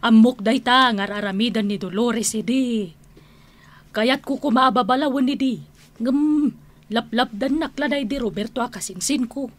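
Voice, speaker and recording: A fairly high female voice, an actress as a protective kinswoman carrying grief and indignation. She plays it with the fiery feeling of an Ilocano radio serial. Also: normal speed, natural voice. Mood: indignant. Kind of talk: Ilocano radio drama acting.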